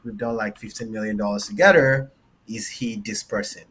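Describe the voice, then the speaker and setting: A man's voice: fairly low pitch, moderate pace, a bit bright, a little hiss or hum. A man from Nigeria with a light Nigerian accent, a panelist telling a personal story in a loose, rambling way full of "like" and "right?". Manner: loose, filler-heavy, tags lines with "right?". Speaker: panelist, engineer and founder. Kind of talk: casual storytelling.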